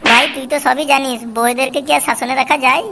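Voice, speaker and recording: A man playing a henpecked, cowardly cartoon husband who whines and pleads, with a West Bengal accent and a pitched-up voice effect. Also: steady tone, fast, creaky, very noisy. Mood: embarrassed, complaining. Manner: pleading, lamenting. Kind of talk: amateur comic cartoon dubbing.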